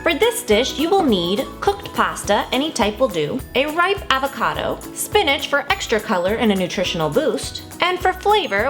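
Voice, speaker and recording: A woman's voice: sits high, a bit bright. A woman hosting a cooking channel, narrating recipes in a bubbly, enthusiastic way.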